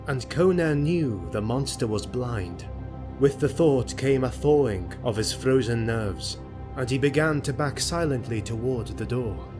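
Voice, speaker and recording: A man narrating a grim sword-and-sorcery audio drama as storyteller, in a measured, theatrical, solemn delivery. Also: medium pitch, natural voice.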